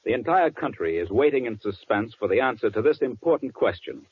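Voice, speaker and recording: A man's voice: medium pitch, lively intonation, brisk, slightly rough, no echo. A man announcing an earnest, emphatic, formal wartime appeal in 1940s radio style. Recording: thin, phone-like 1940s radio broadcast.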